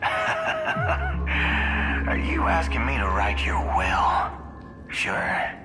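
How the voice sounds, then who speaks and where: A man's voice: on the low side, sing-song, very slow, exaggerated voice. A man playing a cocky, menacing gunslinger in a video game, with a swaggering drawl and a wry, taunting edge. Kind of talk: dramatic video game voice acting. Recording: long reverb, loud music behind.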